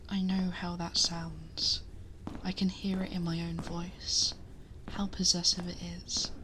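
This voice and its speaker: A woman playing a possessive, protective dragon girl in an intimate ASMR roleplay, speaking softly and breathily, often whispering, close to the microphone in a measured murmur. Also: lowish voice, noisy background, natural voice. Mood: possessive, firm. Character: possessive female dragon girl, protective, commanding yet tender. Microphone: close binaural ASMR microphone.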